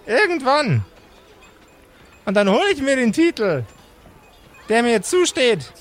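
Man putting on a high, petulant villainous rival magician. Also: huge pitch swings, slow pace, heavily acted. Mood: sulky, vengeful. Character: petulant, sore-losing male rival magician, vengeful. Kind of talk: improvised fantasy audio play.

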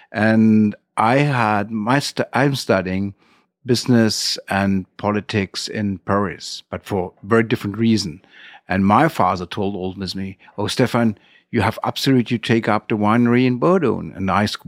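A middle-aged man with a German accent, telling his story as an interview guest in halting, non-native English phrasing. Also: on the low side, no echo, studio quality.

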